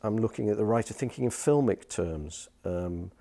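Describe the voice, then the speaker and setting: A man's voice: fairly low pitch, expressive, normal speed, vocal fry, dark tone. A man working as a radio drama producer, giving casual advice in an interview in a hesitant, thoughtful way.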